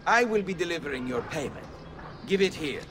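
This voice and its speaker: A man's voice: a little high, lively intonation, fairly quick, over music, lightly acted. An actor playing a gloating, bossy henchman in a video game cutscene. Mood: gloating, commanding.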